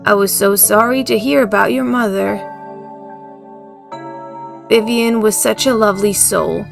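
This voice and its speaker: A woman's voice: mid-range voice, fairly even intonation, a little slow, gravelly, over music. A woman playing a kindly, hospitable older small-town woman, gracious and motherly, in a fan-dubbed visual novel. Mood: gracious, welcoming.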